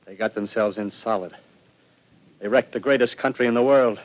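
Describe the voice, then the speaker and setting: An actor playing a desperate male ex-militiaman who rambles feverishly about his survival scheme, swinging between hushed and loud. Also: lowish voice, a little fast, lightly acted. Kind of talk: 1930s radio drama acting. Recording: dull lo-fi vintage radio broadcast.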